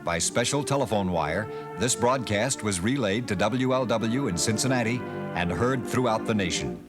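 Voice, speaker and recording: A man reading scripted documentary narration at a measured pace. His pitch moves a lot, with an animated storytelling lilt, and band music plays clearly behind him.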